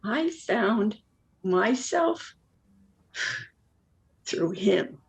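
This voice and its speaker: Woman acting a wry, world-weary jilted woman, unhurried. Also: medium pitch, gravelly, breathy edge, exaggerated voice. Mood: bitter, devoted. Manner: candid, rueful, deadpan asides. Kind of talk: dramatic monologue in a one-act play.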